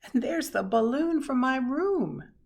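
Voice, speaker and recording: A woman voicing eager young hospital children in a storybook, excitable and imploring. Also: high-pitched, slow pace, pitched above the performer's own voice, full character voice. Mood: delighted.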